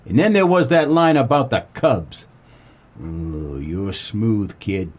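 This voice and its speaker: Man playing a husky, low-voiced noir private eye. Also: slow pace. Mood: smug, accusing. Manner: deadpan, terse, slangy tough-guy delivery. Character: wisecracking hard-boiled 1940s private detective, deadpan tough guy. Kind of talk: comedic noir radio play, first-person narration and dialogue.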